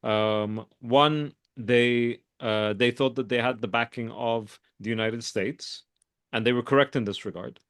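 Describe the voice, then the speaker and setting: Measured man, analytical, very dry recording. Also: mid-range voice, steady tone, a bit bright, clear, resonant tone, no room sound. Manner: deliberate, careful, qualifying his points. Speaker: guest expert, foreign policy scholar. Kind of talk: measured political analysis in an interview.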